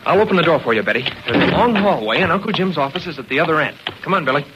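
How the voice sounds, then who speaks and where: A male actor plays a bold schoolboy adventurer in a vintage radio serial. He gives urgent orders quickly in a high, youthful voice. The old recording is clearly noisy. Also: animated, muffled recording, close to an everyday voice. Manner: urgent, take-charge. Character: bold, commanding all-American high school boy hero. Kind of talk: 1940s radio serial acting.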